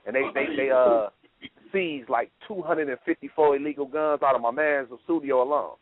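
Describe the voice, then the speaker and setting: A man hosting a casual radio talk show, telling stories in a profane, animated way. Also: mid-range voice, moderate pace, no echo. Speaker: podcast host. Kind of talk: casual chat. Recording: dry phone-like lo-fi sound.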